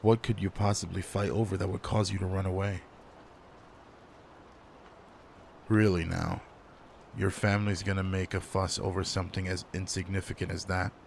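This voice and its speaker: A gruff alpha werewolf, played by a man, talks low and close in a roleplay audio drama. His voice is gravelly, slow and commanding, with a guarded, caring, teasing side. Faint rain runs behind him. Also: level tone, slight background noise. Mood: probing, concerned.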